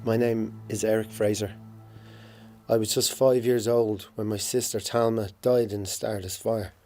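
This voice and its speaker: A man in his forties with a Dublin accent reads a prepared poetic eulogy to his sister, solemn and halting in places. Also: lowish voice, level tone, mellow, soft background music. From Ireland. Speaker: bereaved brother giving a tribute.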